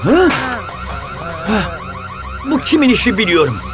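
A man's voice: very high voice, wildly swooping pitch, measured pace, music in the background, exaggerated voice. A man playing an earnest, eager young footballer hero in a cartoon, speaking expressively. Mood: determined. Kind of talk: cartoon dubbing.

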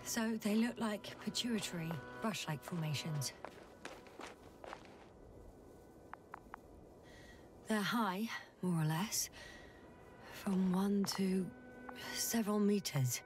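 An actress playing a wry, thoughtful scientist exploring a planet in a video game, speaking in a measured, musing way. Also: female voice, mid-range voice, music in the background, natural voice. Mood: observant, concerned. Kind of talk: naturalistic video game voice acting.

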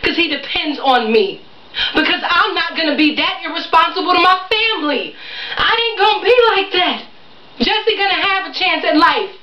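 A woman's voice: very high-pitched, animated, normal speed, sharp tone, pitched above the performer's own voice, heavily acted. A woman performing a theatrical monologue as an exasperated young mother, emphatic and indignant as she pleads with her partner. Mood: indignant, rising anger. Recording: echoey room, distant mic, muffled.

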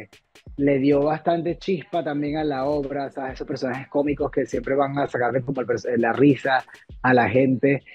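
A man's voice: medium pitch, darkish tone, breathy edge. A man from Venezuela, an actor, chatting casually on a video call about his stage roles, full of fillers and restarts. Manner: rambling, many fillers and restarts. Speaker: actor guest. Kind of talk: casual interview chat. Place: home room, video call. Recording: dull lo-fi video call audio with faint music.

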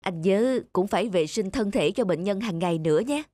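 A woman playing a conscientious, reassuring hospital doctor who explains and advises, in an animated moral story with music behind. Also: medium pitch, no room sound, studio quality, natural voice. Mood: advising, reassuring. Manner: explanatory, measured advice-giving.

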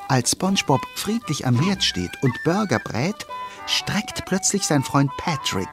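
A man's voice: fairly high pitch, big pitch swings, unhurried, over music. A man narrating a children's audio play in a wry storytelling manner, speaking to the listener.

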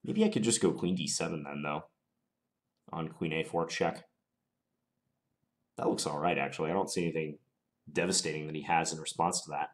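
A man with a very low voice and a slight cold talks through his chess moves at his computer. He sounds calm and analytical, weighing each option in a measured way. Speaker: chess commentator playing online. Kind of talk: thinking aloud during a chess game.

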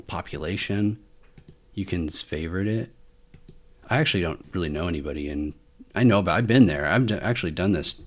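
A man with a low-pitched voice narrates a screen recording as the host of a tech hobby channel. He talks in an informal, rambling way, thinking out loud as he goes. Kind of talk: casual screen-recording walkthrough. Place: indoor voice-over for a screen recording.

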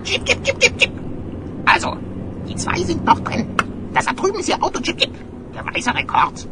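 An actor voicing a cheeky, talkative little bird in a children's audio play, squeaky and breaking into chirps. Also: wildly swooping pitch, normal speed, exaggerated voice. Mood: tired but proud. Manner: chatty, breaks into chirps mid-sentence. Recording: noisy old vinyl audio play.

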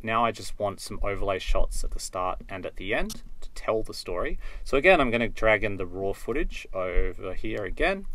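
A man giving an unscripted software tutorial, thinking aloud as he works through it step by step. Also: lowish voice, sing-song, normal speed, hardly any pauses. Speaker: video editing tutor.

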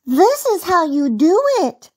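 A woman's voice: very high-pitched, big pitch swings, slow, dry recording, clean studio sound, pitched above the performer's own voice, full character voice. A woman voicing a cute, bold and bossy little rabbit girl in a children's storybook, exclaiming eagerly. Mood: confident. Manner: bright exclaiming, eager and scolding.

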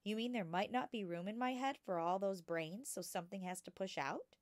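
A woman voicing an eager, curious, precocious little girl in a children's storybook, full of excited questions. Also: mid-range voice, a little fast, no room sound, studio quality, close to an everyday voice. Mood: questioning. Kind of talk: children's storybook character reading.

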